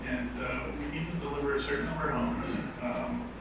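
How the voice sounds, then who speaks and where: A man's voice: medium pitch, brisk. A man on a housing panel, agreeable and a bit hesitant as he makes his point. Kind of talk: panel discussion. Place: event hall with audience. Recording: echoey hall, distant mic, background noise.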